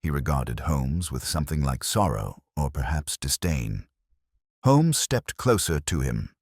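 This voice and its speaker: A man's voice: a bass voice, big pitch swings, a little slow, darkish tone, slightly rough, no room sound, clean studio sound. A man reading a scripted dramatic story as an audiobook narrator.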